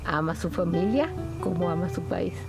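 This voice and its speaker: A woman speaking in a measured, admiring way in a documentary interview. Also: lowish voice, vocal fry. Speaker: interviewee speaking about him. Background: music.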